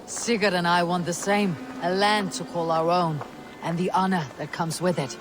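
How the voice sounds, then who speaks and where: A woman's voice: lowish voice, fairly even intonation, moderate pace, background noise, natural voice. A woman playing a proud, fearless Viking warrior in a video game, with blunt, wry and stately phrasing. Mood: thoughtful, resolute. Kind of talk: dramatic video game acting.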